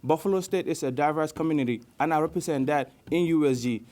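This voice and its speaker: A young man student giving an earnest campaign speech, pausing on fillers as he goes. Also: a little high, darkish tone. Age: young adult. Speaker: student election candidate.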